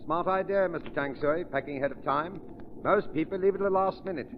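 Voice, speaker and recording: A man's voice: high-pitched, brisk, natural voice. A man acting an obliging, breezy ship's steward in old radio drama, deferential and chatty. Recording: muffled, noisy old radio recording.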